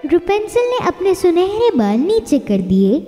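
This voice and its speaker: A woman's voice: very high voice, very animated, a little slow, warm tone, long reverb tail, soft background music. A woman narrating a scripted children's fairy tale as storyteller.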